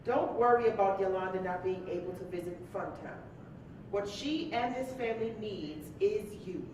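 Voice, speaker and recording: A woman's voice: mid-range voice, moderate pace, close to an everyday voice. A woman acting in a staged drama as a firm, concerned wife and mother, urgent and reproachful. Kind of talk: staged reading of a domestic drama scene. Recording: very echoey hall, distant mic, faint noise.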